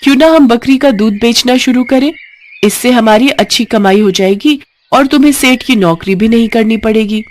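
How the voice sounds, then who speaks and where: Woman acting poor village wife, raised pitch, pleading, excitable, emotional storybook character, faint noise behind. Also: normal speed, lightly acted. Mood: hopeful. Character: worried, devoted poor village wife, plain-spoken, excitable.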